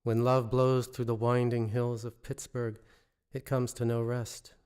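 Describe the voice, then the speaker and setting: A man reads his own poetry in a measured, deliberate way, pausing between short phrases. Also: mid-range voice, flat delivery, a little mellow, clean tone. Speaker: poet reading own work. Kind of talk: poetry reading. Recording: musical score under the voice. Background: original instrumental music.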